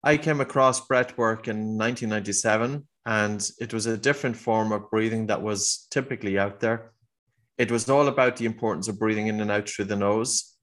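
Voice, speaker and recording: A middle-aged man with an Irish accent answers interview questions in an earnest, explanatory way. His voice sits a little low, and he sometimes restarts a phrase as he thinks. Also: fairly even intonation, fairly quick, a bit bright. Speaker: guest, breathing teacher.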